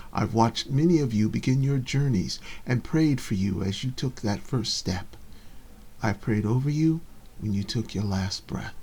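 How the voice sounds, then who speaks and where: A man's voice: mid-range voice, darkish tone, slightly rough. Guy doing an old lady pastor from a story, strict but loving, solemn preachy delivery. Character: stern, devout elderly woman pastor, loving and tough.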